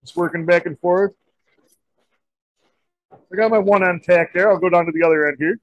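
A man in his forties, a shop teacher, talking through a torch welding demonstration in a relaxed, folksy way in an indoor metal shop. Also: high voice, normal speed, no echo, muffled recording. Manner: relaxed, folksy, many "kinda" and "you know" fillers. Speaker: diesel technology teacher.

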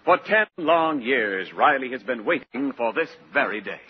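A man's voice: medium pitch, lively intonation, normal speed, close to an everyday voice. A man playing a solemn American announcer, reading scripted narration for a 1940s radio show. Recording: dull, noisy 1940s radio recording.

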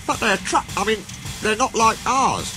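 A man's voice: very high-pitched, huge pitch swings, normal speed, over music, voice pushed up, exaggerated voice. A man voicing a polite, curious and eager steam engine in a children's story, with an inquisitive manner. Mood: curious, surprised.

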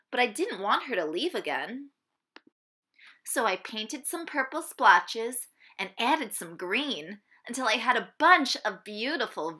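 A woman reading a children's picture book aloud in an expressive, deliberate way, phrasing it for young listeners. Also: on the high side. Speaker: storybook reader.

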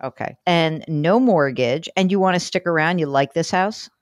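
A woman with a New York accent hosting a call-in advice show, brisk and chatty, asking quick follow-up questions. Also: sits low, dry recording, clean studio sound. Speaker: host.

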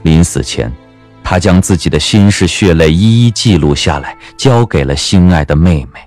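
A man narrating a scripted audio drama as an expressive storyteller. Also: sits low, normal speed, faint music behind.

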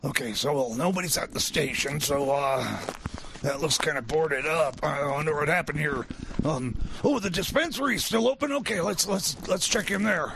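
Radio comedy role: bewildered male mechanic, acted by a man, high creaky voice, halting stammer, music underneath. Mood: puzzled. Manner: stammering, muttering to himself, hesitant. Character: bewildered, hesitant time machine mechanic, a man. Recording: music playing behind the voice.

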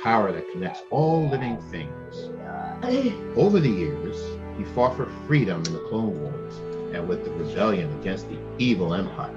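Middle-aged male storytime host, expressive and deliberate reader, slightly dull lo-fi recording with music behind. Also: low voice. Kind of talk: read-aloud storytime for children. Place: room at home, indoors.

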